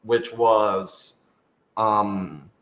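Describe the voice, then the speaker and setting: A man with a fairly low voice talks in an echoey room. He is a show creator answering fan questions in a casual panel, thinking out loud and rephrasing his points as he goes. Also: fairly even intonation, drawn-out words. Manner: thinks aloud, hedging and rephrasing. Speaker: radio drama creator, writer and director answering fan questions. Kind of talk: informal panel Q&A. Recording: roomy, echoey room sound.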